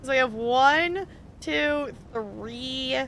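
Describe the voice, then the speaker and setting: Woman streamer, twenties, slightly high and very bright voice, chatty and rambling. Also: expressive, very slow, gravelly, a little hiss or hum. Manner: chatty, rambling, laughs often. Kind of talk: casual gameplay commentary.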